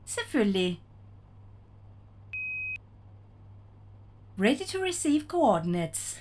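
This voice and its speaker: A woman's voice: a little high, big pitch swings, normal speed, a little mellow, slight background noise. A woman playing a cheerful, chatty spaceship AI in an audio drama, polite and formal. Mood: bright, welcoming. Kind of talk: scripted audio drama acting.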